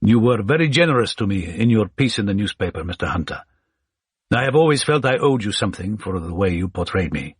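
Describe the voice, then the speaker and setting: A man voicing a blind older male Egyptian scholar who is wise and witty, speaking in courteous, measured, formal phrases. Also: lowish voice, very animated, slightly rough, no room sound, clean studio sound. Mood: calm.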